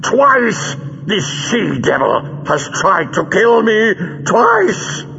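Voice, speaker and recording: High-pitched, gloating storybook villain. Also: male voice, animated, slow, fairly bright tone, in a large hall, muffled recording, full character voice. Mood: furious. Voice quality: hoarse with rage. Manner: imperious, menacing, declamatory. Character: gloating, raging male villain, cruel and theatrical. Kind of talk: dramatic audiobook character voice.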